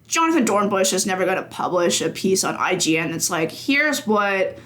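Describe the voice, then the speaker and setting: A woman's voice: mid-range voice, sing-song, normal speed, a bit bright. A woman in her twenties, a games journalist guest, talking casually with many fillers and frequent laughs. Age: 20s. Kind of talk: casual conversation.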